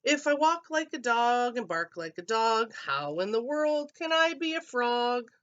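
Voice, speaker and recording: A woman's voice: a little high, big pitch swings, measured pace, a bit bright, lo-fi sound, voice pushed up. A woman voicing a young male frog in a storybook, sing-song and proudly convinced he is a puppy.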